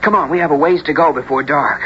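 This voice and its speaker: A performer playing a bold, excitable teenage country boy in a radio drama, with folksy rural phrasing. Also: medium pitch, fast talker, over loud music, natural voice. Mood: wondering, resolute. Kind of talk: 1970s radio drama acting and narration. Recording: muffled, hissy old radio recording.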